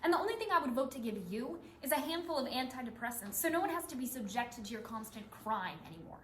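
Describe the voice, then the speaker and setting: A woman's voice: high voice, lively intonation, fast, fairly bright tone. A woman performing readers theatre as a cruel, taunting reality show contestant, with scornful theatrical delivery. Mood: scornful, mocking. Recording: echoey room, some background noise.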